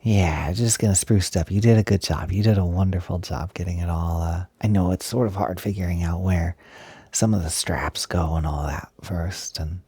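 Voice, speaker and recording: A man playing an excited, doting, gentle young roommate in an intimate roleplay audio, soft-spoken and breathy, hesitant but gushing and reassuring. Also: sits low, normal speed, dry recording, full character voice. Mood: gentle, attentive.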